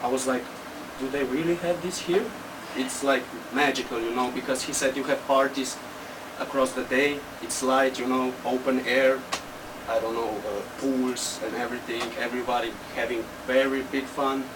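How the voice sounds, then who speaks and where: Recorded at a distance under loud background noise, a young man from Slovenia speaks English with a Slovenian accent. He gives casual interview answers, choosing simple words and halting as he goes. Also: mid-range voice, fairly even intonation. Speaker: interview guest, techno DJ and producer.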